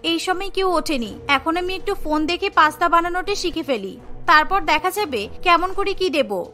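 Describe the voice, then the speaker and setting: A woman's voice: very high voice, lively intonation, moderate pace, pushed and bright, soft background music, full character voice. A woman voicing a lazy, scheming young bride who frets to herself in a Bengali cartoon. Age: young adult. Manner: fretful, musing to herself. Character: lazy, scheming young village bride, anxious about cooking.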